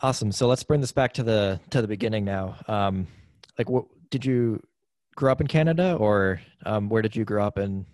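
A man's voice: on the low side, moderate pace, a little mellow, no room sound. A man hosting an interview, asking casual questions with lots of hesitation and fillers. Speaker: podcast host interviewer.

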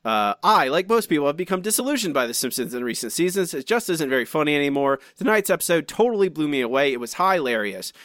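A man co-hosting a podcast, reading out listener comments in chatty, animated fashion with frequent restarts and asides. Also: a little high, fast talker, hardly any pauses, no room sound, clean studio sound. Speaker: podcast co-host.

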